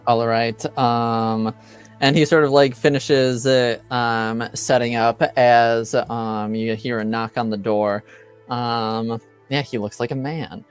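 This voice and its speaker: A man narrating an improvised tabletop game as game master, halting and tentative as he feels for words. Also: medium pitch, steady tone, smooth, soft background music.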